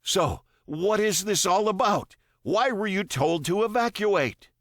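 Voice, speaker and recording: A man's voice: sits high, expressive, a little slow, fairly bright tone, no room sound, studio quality, voice pushed up. A man voicing a stranded, bewildered father in an audiobook, emphatic and plaintive. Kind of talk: audiobook character dialogue.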